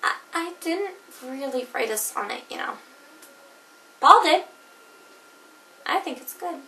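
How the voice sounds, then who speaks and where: A woman's voice: high voice, animated, slow pace, sharp tone, reverberant, far from the mic, heavily acted, low-quality recording. A woman performing a comedic monologue as a jilted wife, wry and theatrical, caught between laughing and crying. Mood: wistful.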